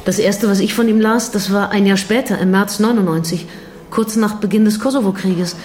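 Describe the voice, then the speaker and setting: A woman's voice: medium pitch, fairly even intonation, moderate pace, darkish tone, slight background noise, lightly acted. An actress playing an earnest woman in radio drama dialogue, questioning and direct. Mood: curious. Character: probing, earnest woman in conversation with a writer.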